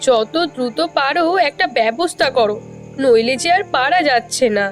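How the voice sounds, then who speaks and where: A woman's voice: sits high, animated, moderate pace, soft background music. A woman playing a worried, complaining poor farmer's wife in a cartoon fairy tale, with a plaintive delivery. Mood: worried, resentful.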